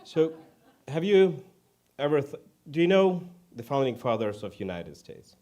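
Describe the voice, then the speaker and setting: A man from Ukraine with a Ukrainian accent preaches informally in English as a second language, halting and self-deprecating. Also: a little high, big pitch swings, dark tone, muffled recording. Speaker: guest preacher. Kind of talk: informal sermon.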